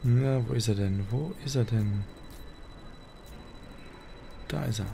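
A man doing casual let's play commentary in a creaky voice. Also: mid-range voice, takes it slowly. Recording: clear game noise behind voice. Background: video game sound.

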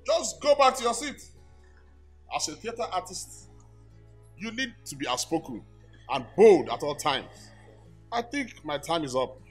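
A man with a Nigerian accent playing a stern, exacting theatre lecturer with a loud, declamatory manner in a radio drama. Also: sits high, very animated, measured pace, long pauses, slightly rough, soft background music, full character voice. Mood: lecturing, exasperated. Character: stern, exacting male theatre lecturer, mocking shy students.

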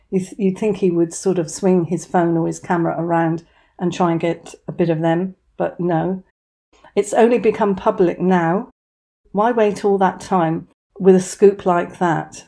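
A woman's voice: lowish voice, gravelly, warm tone. A woman giving opinion commentary as a voice-over. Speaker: commentary channel host.

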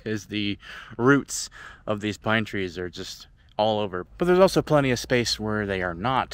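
A young man narrating a casual hiking vlog outdoors, chatty and joking, filmed on a camera at arm's length. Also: fairly low pitch. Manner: chatty, joking, relaxed commentary. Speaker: travel vlogger. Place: outdoors on a forest trail. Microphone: action camera at arm's length.

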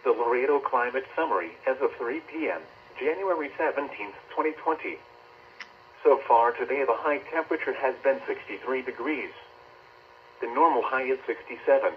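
A man's voice: medium pitch, moderate pace. A synthesized man's voice reading a scripted weather bulletin in a stilted, formal way, played from a weather radio indoors. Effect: synthetic voice over weather radio. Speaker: automated weather radio announcer. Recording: dull radio speaker, clear noise.

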